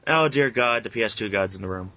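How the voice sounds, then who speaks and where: A man's voice: fairly low pitch, animated, quick pace, bright, forceful tone. A man co-hosting a podcast, chatting casually with friends in a grumbling, profane way. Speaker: podcast co-host. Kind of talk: casual group chat. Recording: muffled lo-fi audio, background noise.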